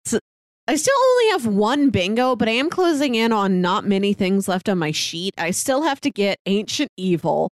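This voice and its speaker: A woman's voice: mid-range voice, very animated, normal speed, no echo, studio quality. A woman co-hosting a podcast, chatting casually about films in a conversational way. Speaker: podcast co-host. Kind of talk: casual film discussion chat.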